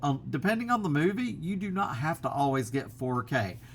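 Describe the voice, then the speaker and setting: A man's voice: on the high side, sing-song, brisk. Middle-aged man, easygoing vlogger, rambling chatty delivery, built-in laptop mic, faint hum. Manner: rambling, many fillers and restarts. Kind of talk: casual chat to camera. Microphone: built-in laptop camera mic.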